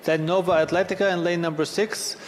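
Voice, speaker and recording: A man's voice: high voice, steady tone, fast, crowd noise. A man giving upbeat, joking live commentary at a fitness competition. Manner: upbeat, joking, chatty with restarts. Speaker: event commentator. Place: large event hall. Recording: long reverb in a big hall, faint ambience.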